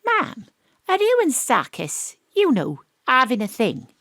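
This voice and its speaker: A wry female gumshoe, telling her case in mock-noir style. She is brisk and punchy, with very lively pitch and a dry, close sound, in a comic audio drama. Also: dry recording, exaggerated voice. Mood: wry narrating. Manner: deadpan noir-style narration, punchy questioning.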